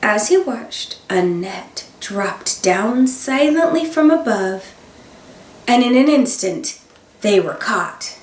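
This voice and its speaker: Slow, expressive teacher reading a storybook. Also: female voice, mid-range voice, a little hiss or hum. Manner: expressive storytelling with gasps and dramatic emphasis. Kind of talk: children's picture book read-aloud. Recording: roomy sound, voice a bit far from the mic.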